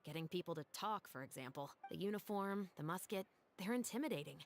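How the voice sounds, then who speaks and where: A woman's voice: lowish voice, lively intonation, brisk, a little hiss or hum. A woman playing a composed, easygoing patrol officer in an anime game, with a wry, assured, conversational delivery.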